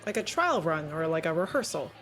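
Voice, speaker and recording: A woman's voice: sits low, big pitch swings, fairly quick, fairly bright tone, faint music behind. A woman improvising conversation as a player in a tabletop roleplaying game.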